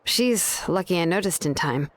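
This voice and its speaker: A woman voicing a calm, bookish craftswoman in a video game, explaining things in a measured, scripted way. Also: fairly low pitch, natural voice. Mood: wry storytelling. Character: calm, bookish adult craftswoman who forges ancient names.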